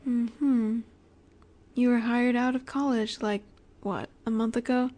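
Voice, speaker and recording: Actress as vampire boss's daughter, young woman, slightly high pitch, soft teasing delivery, unhurried, poised, intimate roleplay audio. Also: fairly even intonation. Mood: warm, caring. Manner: gentle, teasing, unhurried, breathy asides.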